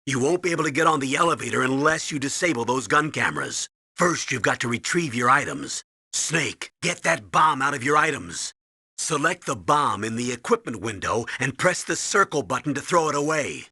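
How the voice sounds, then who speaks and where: Forceful, husky military commander. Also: male voice, medium pitch, animated, moderate pace, no room sound, studio quality, exaggerated voice. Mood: commanding, steady. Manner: commanding, emphatic, instructive. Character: gruff, urgent military commanding officer briefing his agent. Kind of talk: video game radio briefing acting.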